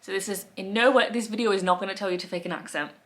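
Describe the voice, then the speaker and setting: A woman in her thirties with an Australian accent, chatting wryly to camera from her living room, with soft laughs and fillers. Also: mid-range voice, racing. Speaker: lifestyle vlogger. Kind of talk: casual talk to camera.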